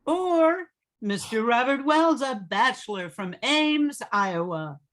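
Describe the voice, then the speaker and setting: Woman acting swooping, emphatic radio pitch announcer. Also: lively intonation, a bit bright, heavily acted. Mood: enthusiastic, selling. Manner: emphatic salesmanship, drawn-out product name. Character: enthusiastic old-time radio huckster announcer, female. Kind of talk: old-time radio commercial parody.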